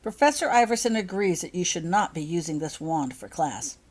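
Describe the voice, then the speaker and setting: Woman as a firm, practical schoolgirl prefect, animated. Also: fairly low pitch, moderate pace. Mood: explaining. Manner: instructive, firm. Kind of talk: storybook character voice.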